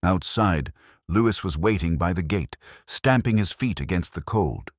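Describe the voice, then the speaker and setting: A man playing a weary, erudite police inspector, narrating his case in a measured, melancholy, sardonic way as a literary audio drama. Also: low-pitched, animated, dry recording, clean studio sound. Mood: brooding.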